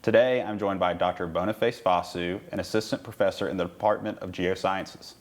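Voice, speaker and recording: Upbeat deep-voiced male host. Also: fairly even intonation, a little fast. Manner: upbeat, enthusiastic questions. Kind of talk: university interview show.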